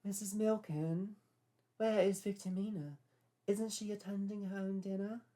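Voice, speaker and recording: A man's voice: high voice, fairly even intonation, measured pace, warm tone, pitched above the performer's own voice. A man voicing a posh, fretful older woman doctor with a prim, fussy manner.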